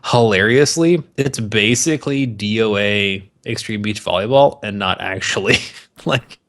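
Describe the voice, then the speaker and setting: Low-voiced guy, jokey and foul-mouthed. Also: unhurried, fairly bright tone. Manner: profane, jokey ranting. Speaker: podcast co-host. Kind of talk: casual banter podcast chat.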